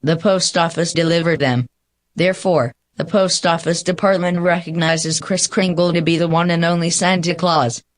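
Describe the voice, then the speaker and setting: Theatrical courtroom advocate played by a man, lightish higher pitch, confident and formal, radio drama. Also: fairly even intonation, moderate pace, natural voice. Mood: triumphant. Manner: formal, emphatic oratory. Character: confident, theatrical defense lawyer. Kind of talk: radio play courtroom acting.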